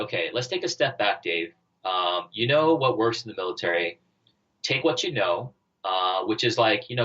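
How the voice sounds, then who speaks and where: The guest on a career podcast, a man with a forceful low voice, working out his answers as he goes, on slightly dull audio. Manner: halting, thinking aloud, heavy use of "like" and "you know". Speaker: interview guest, startup co-founder and former army officer. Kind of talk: conversational podcast interview answers.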